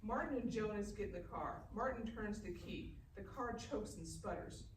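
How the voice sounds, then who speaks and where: Picked up at a distance in an echoey room with faint noise, a woman reads scripted stage directions. Her pitch is medium, in a narrator's delivery. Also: animated, normal speed. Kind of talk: scripted narration at live table read. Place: live reading in a festival room.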